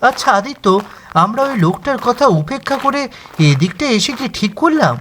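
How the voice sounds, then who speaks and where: Man as an anxious young townsman, hesitant, high pitch, lively intonation. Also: fairly quick, slight background noise, exaggerated voice. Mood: uneasy. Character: nervous young man from town. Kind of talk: animated horror story acting.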